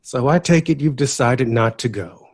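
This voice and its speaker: An actor playing an excitable, easily wounded young man in an audio drama, with a gushing, dramatic and pointed way of talking. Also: medium pitch, moderate pace, breathy edge. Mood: hurt, pressing.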